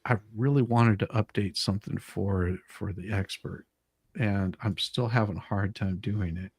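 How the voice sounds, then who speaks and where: A man's voice: on the low side, normal speed, darkish tone, slightly rough, dry recording. A man hosting a casual livestream, chatting in an informal, rambling way. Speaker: livestream host.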